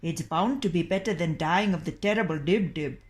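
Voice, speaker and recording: A woman's voice: lowish voice, normal speed, lightly acted. Woman reading a grave, hesitant doctor.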